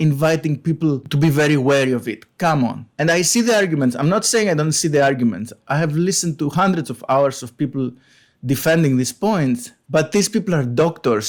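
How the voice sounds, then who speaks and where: Greek-accented male host, emphatic opinion talk, slightly higher voice. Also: darkish tone. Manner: argumentative, emphatic, reads quotes slowly. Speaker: channel host. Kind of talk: opinion commentary.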